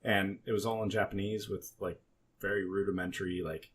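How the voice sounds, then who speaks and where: A man's voice: low-pitched, flat delivery, a little fast, a little hiss or hum. A man co-hosting a casual podcast, telling rambling, joking stories peppered with swearing. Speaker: podcast co-host.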